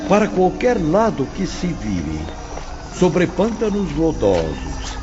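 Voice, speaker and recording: An actor playing a solemn spirit instructor, stern yet compassionate, speaking gravely and deliberately in a dramatized audiobook. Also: male voice, a little high, wildly swooping pitch, heavily acted. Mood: grave, pitying. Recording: muffled lo-fi audio, music behind.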